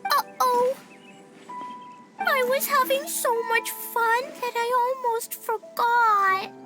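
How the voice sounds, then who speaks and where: A woman voicing a small, playful, innocent little girl mage in a video game, with a childlike squeaky voice. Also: steady tone, slow. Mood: reluctant. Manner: refers to self by name. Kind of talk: video game cutscene dialogue. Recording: music behind.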